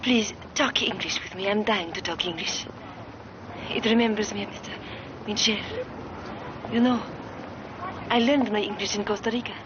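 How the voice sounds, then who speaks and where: A woman's voice: mid-range voice, fairly even intonation, moderate pace, pauses often, lightly acted. Woman as a Parisian streetwalker, rambling, whispery asides, lo-fi, hissy. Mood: eager. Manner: rambling, confiding, drops to whispers. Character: chatty Parisian streetwalker, wistful and talkative. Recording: dull old film soundtrack with noise.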